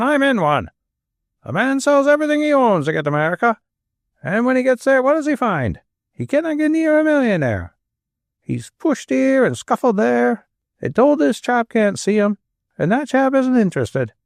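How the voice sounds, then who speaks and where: Male reader playing a furious Manchester dad, bellowing, voice pushed high and swinging. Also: very animated, moderate pace, vocal fry, hardly any pauses, a bit bright, dry recording, clean studio sound, heavily acted. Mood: bitter rant. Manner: bellowing, ranting, bitter. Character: angry middle-aged Manchester father.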